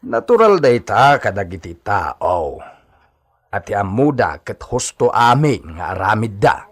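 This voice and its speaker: An actor with an Ilocano accent playing a loyal, cautious hacienda servant in a radio drama, gently chiding. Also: male voice, lowish voice, big pitch swings, a little slow.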